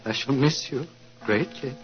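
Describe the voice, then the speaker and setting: An actor playing a serene old monastery abbot with a measured, paternal manner in a 1940s radio drama. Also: male voice, mid-range voice, faint music behind, close to an everyday voice. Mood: wistful, tender. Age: older. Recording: muffled old radio recording.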